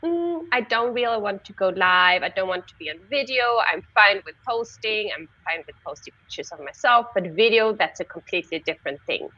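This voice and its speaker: A woman's voice: medium pitch, lively intonation, fairly quick, hardly any pauses, bright, forceful tone, dull audio. A Swedish woman with a Swedish accent hosting a casual live-stream chat, chatty and laughing often. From Sweden. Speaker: host.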